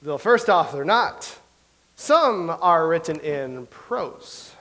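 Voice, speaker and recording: Boastful, rambling young playwright, slightly high voice, as an actor plays him. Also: male voice, animated, a bit bright, heavily acted. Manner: rambling, recites verse slowly. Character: chatty boastful young playwright from old England. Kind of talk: staged play reading.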